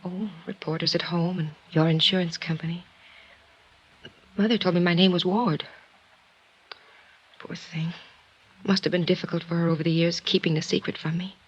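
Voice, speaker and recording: A woman playing a poised, quietly intense twenty-one-year-old in 1950s radio drama, with a measured, earnest delivery. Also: on the low side, flat delivery, breathy edge. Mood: composed. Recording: dull vintage radio recording.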